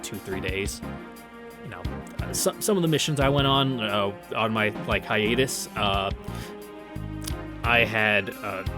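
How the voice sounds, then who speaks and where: A man with a fairly low voice reads a visual novel aloud for an audience. He slips between story narration and casual, irreverent, sweary commentary. Game music plays clearly behind him. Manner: irreverent, profane. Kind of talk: read-through with casual asides.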